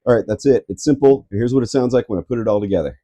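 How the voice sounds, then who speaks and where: A man's voice: mid-range voice, fast talker, dark tone, a little hiss or hum. A man narrating a scripted art vlog as host, with casual asides and a theatrical, playful, self-interrupting manner.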